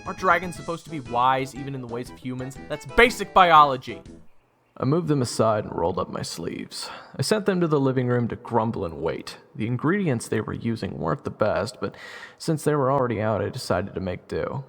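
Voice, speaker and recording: Man acting an easygoing young guy, animated delivery. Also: mid-range voice, brisk. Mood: exasperated. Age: 20s. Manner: casual, conversational, wry. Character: easygoing twenty-year-old man narrating his own story. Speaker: narrator and lead character. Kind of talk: first-person audio drama narration and dialogue. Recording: music bed behind voice.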